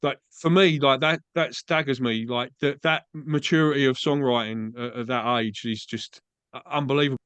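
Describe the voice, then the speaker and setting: A man's voice: medium pitch, fairly even intonation, normal speed, a bit bright, clean tone, dry recording. A man from England with an Essex accent, hosting a podcast interview in a chatty, agreeable way, often saying "mate". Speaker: podcast host. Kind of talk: casual interview chat. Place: Australia.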